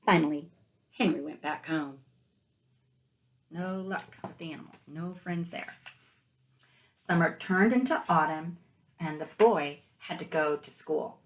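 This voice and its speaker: A woman teacher reading a picture book aloud to young children, expressively, with gentle questioning asides to the listeners. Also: low voice, a little slow, long pauses.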